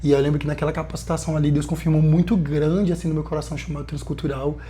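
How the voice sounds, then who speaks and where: A man in his twenties from Bahia, a missionary guest telling his story in an earnest, lively way with many fillers. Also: fairly high pitch, rapid-fire. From Bahia, Brazil. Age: 20s. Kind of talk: casual podcast conversation.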